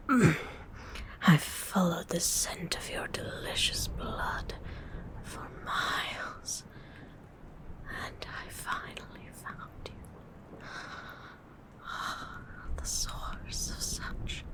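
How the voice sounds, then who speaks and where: A woman plays a female vampire who speaks in a breathy whisper at an extremely slow pace. She is predatory and hungry, with a teasing, seductive menace kept politely refined. The delivery is an intimate ASMR roleplay monologue in a lowish register. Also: low-quality recording. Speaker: ASMR voice performer.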